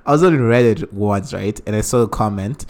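A man's voice: fairly low pitch, brisk, darkish tone. Teasing man in casual podcast banter. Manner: teasing, joking. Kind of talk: casual chat.

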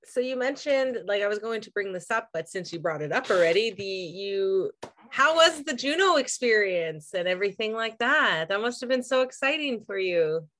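A woman's voice: mid-range voice, lively intonation, moderate pace, fairly bright tone. A young woman interviewing a guest, asking questions hesitantly and casually, with lots of "like".

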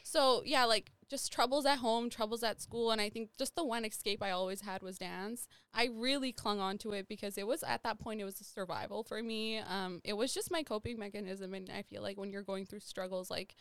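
Young woman from nepal just chatting, lots of "like", smooth voice, no room echo. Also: mid-range voice, steady tone, fairly quick, hardly any pauses, a bit bright. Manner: rambling, many "like" fillers. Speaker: interview guest. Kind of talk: casual conversational interview.

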